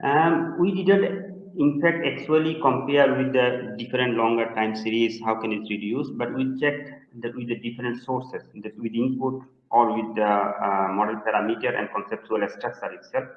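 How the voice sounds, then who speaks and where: Man from Bangladesh, halting with fillers, roomy echo, dull lo-fi webinar audio. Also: medium pitch. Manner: hesitant, many fillers and restarts. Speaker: presenting researcher answering questions. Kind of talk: webinar Q&A answers. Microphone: video call. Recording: echoey, dull lo-fi call audio.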